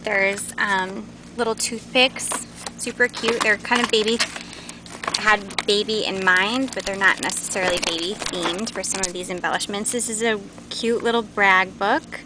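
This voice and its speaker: A woman with a mid-pitched voice hosts a casual, unscripted product tour. She talks in a chatty, informal way. The sound comes from a handheld camera in a warehouse, with clear background noise. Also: steady tone, moderate pace. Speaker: host showing new craft store products. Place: large indoor warehouse aisles. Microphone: handheld camera's built-in mic.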